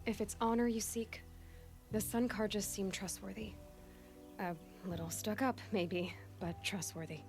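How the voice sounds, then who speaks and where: Casting: woman as resolute young female hunter, medium-pitched, direct, earnest game-drama read over faint music. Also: steady tone, measured pace, darkish tone, lightly acted. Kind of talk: dramatic video game acting.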